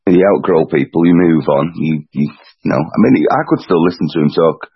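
A man's voice: extremely low pitch, normal speed, slightly rough, no room sound, highs cut off. A man, a podcast guest who rambles casually, restarting and swearing offhandedly. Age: 40s. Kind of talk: casual chat.

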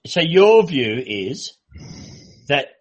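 Husky-voiced man in casual discussion. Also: fairly high pitch, big pitch swings. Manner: measured, stammering restarts. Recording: muffled lo-fi recording.

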